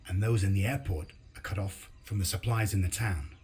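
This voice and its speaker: An actor playing a calm resistance commander in a video game cutscene, briefing in a measured, matter-of-fact way. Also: male voice, low voice, breathy edge. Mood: calm, resolute. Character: calm male resistance commander planning military attacks.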